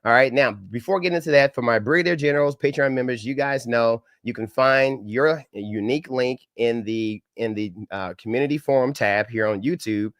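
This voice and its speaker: A man's voice: medium pitch, moderate pace, few pauses, fairly bright tone, no echo, studio quality. A middle-aged man with a head cold, his voice a bit crackly and nasal, hosting a live-stream training in an upbeat, folksy manner with preacher-like greetings. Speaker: government contracting trainer. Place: home setup in Dallas.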